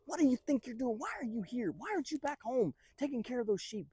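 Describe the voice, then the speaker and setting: A man's voice: medium pitch, sing-song, moderate pace, dark tone, slightly rough, no room sound. A man voicing frightened, scolding young soldiers in an incredulous, slangy way for a Bible story.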